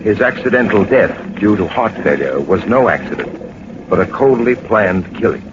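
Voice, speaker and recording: An actor playing a brisk, commanding gentleman adventurer in a 1940s radio drama, clipped and authoritative. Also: lowish voice, big pitch swings. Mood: grave narration. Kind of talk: 1940s radio drama acting and first-person narration. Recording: muffled, noisy 1940s radio recording.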